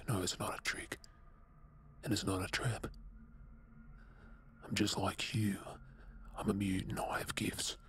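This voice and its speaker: An Australian man acting a tormented, guilt-ridden captive mutant in an audio drama, speaking in a husky, breathy, subdued and halting way. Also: fairly low pitch, a little hiss or hum, lightly acted. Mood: remorseful, pleading.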